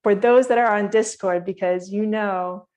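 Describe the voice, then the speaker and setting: A woman's voice: medium pitch, fairly even intonation, normal speed, darkish tone. A woman from Hawaii presenting her project to an online community meeting, speaking earnestly and directly to her listeners.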